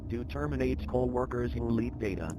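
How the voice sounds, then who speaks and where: A man's voice: medium pitch, moderate pace, music in the background, lightly acted. A man playing a stern, impersonal narrator of a secret agency training tape in a horror game, reading formal, clipped instructions. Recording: clearly noisy game audio with ambience.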